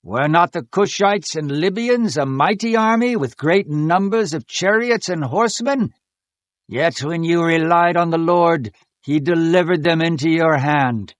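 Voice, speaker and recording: Stern prophet for audio Bible drama: solemn, very deliberate pacing, dry sound. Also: male voice, fairly high pitch, no room sound. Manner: slow, solemn, declamatory delivery. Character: stern male prophet rebuking a king.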